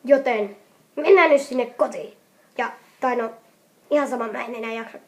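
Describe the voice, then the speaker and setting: A woman's voice: fairly high pitch, pitched below the performer's own voice, close to an everyday voice. Woman as a grumpy, impatient anime boy. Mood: irritated, grumbling. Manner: grumbling, blunt. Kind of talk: hobby comedy anime fandub.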